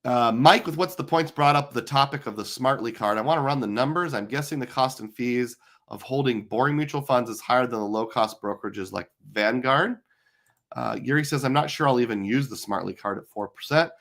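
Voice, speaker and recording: A middle-aged man with a hoarse, tired voice, hosting a live stream in casual chat, rambling with lots of fillers. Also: medium pitch, fairly quick, fairly bright tone. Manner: chatty, rambling with many fillers. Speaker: livestream host.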